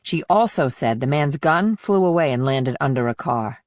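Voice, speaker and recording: A woman's voice: a bass voice, lively intonation, moderate pace, a little mellow, no echo, studio quality. A woman voicing an insistent, businesslike female investigator in audiobook dialogue, firm and explanatory.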